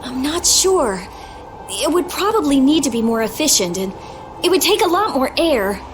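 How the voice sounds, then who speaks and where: High-pitched earnest young girl. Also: sing-song, normal speed, a little hiss or hum. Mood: thoughtful. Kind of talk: English video game dub acting.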